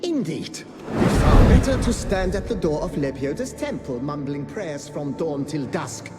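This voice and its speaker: A man's voice: a little high, sing-song, unhurried, over loud music. An actor playing a bitter, sarcastic dead nobleman and gambler in a video game, exasperated and theatrical. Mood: sneering, sly. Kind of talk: video game dialogue acting. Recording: long hall reverb.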